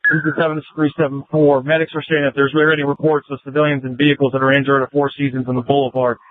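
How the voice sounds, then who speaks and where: A man's voice: mid-range voice, level tone, very fast, no room sound. A male police officer relaying messages over a police radio. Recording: tinny narrowband police scanner radio audio.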